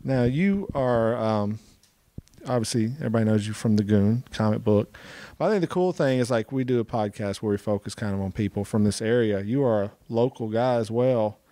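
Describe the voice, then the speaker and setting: A man's voice: on the low side, fairly quick, mellow, dry recording. A man hosting a podcast, asking questions in a casual, conversational way. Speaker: podcast host, interviewer.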